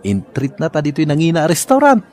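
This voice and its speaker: A cocky, sly young man with an Ilocano accent, played by a male actor in a comedy drama. His pitch jumps around a great deal as he talks. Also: huge pitch swings, brisk, a little mellow, slight background noise. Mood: sly, defensive.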